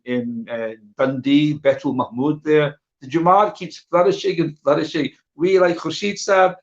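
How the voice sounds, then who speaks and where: A man's voice: medium pitch, creaky. A middle-aged man giving an informal illustrated talk online, conversational, repeating phrases for emphasis and checking in with his listeners. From born in Guyana. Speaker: guest speaker sharing memories of his father. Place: live online video call. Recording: dull, muffled online stream audio.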